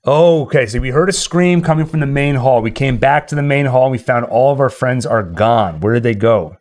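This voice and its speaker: A man's voice: mid-range voice, lively intonation, brisk, darkish tone. A man hosting a casual livestream, chatting and speaking directly to viewers. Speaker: livestream host. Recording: music playing behind the voice. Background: game and tune music.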